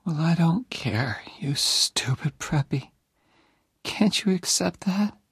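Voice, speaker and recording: A man voicing a dying young woman who speaks in a weak, breathy, teasing whisper. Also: fairly high pitch, animated, takes it slowly, fairly bright tone, no echo, clean studio sound, voice pushed up, exaggerated voice. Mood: fragile.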